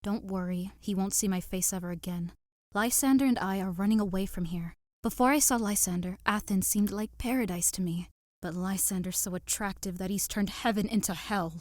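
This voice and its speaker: A woman playing a devoted young lover in an audio drama, earnest and expressive in a theatrical way. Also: medium pitch, a little fast, no echo, clean studio sound, natural voice. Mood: friendly, earnest. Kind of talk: modernized Shakespeare audio drama acting.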